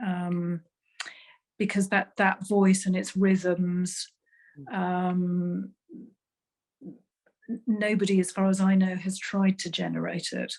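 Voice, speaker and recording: A woman researcher speaks carefully on a panel, pausing often. Her pitch stays flat and even. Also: gravelly. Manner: hesitant, careful, many pauses. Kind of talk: panel discussion.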